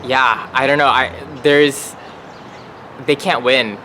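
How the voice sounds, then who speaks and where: A man's voice: mid-range voice, steady tone, takes it slowly, pushed and bright, slight background noise. A man speaking informally and earnestly off the cuff, repeating phrases for emphasis.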